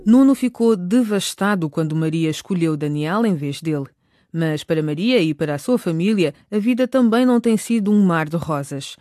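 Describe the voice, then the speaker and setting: A woman's voice: lowish voice, expressive, normal speed, warm tone, no echo, clean studio sound. A woman narrating a radio drama in a scripted storytelling style.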